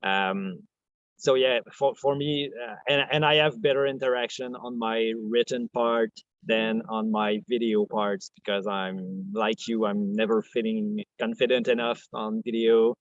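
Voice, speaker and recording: A man with a French Canadian accent, a podcast guest talking in a soft, hesitant way, full of restarts and fillers. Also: lowish voice, steady tone, measured pace, no echo, dull audio. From Quebec, Canada. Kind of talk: casual interview chat.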